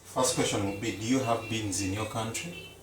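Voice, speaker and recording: A man, a Luganda speaker, chatting casually to viewers as he cooks in a home kitchen, slow and repetitive. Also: on the low side, echoey room, recorded from a distance, noisy background. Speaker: cooking vlogger. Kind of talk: casual live-stream chat.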